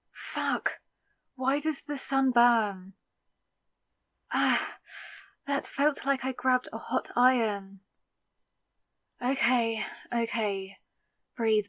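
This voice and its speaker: A bewildered, sarcastic young woman who has just become a vampire, played by a voice actress, in a dramatic audio roleplay. Her voice sits a little high and she talks slowly, muttering to herself in exasperation. The recording is close and dry. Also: a bit bright, no echo, full character voice. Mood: pained, baffled. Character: confused hungover young woman newly turned vampire, wary and sarcastic.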